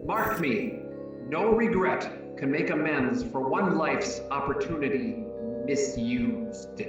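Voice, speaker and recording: A man playing the anguished, stern ghost of a dead business partner, declaiming in slow, moaning, portentous tones in a theatrical reading. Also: fairly high pitch, expressive. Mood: mournful warning. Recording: echoing, distant, muffled, music behind.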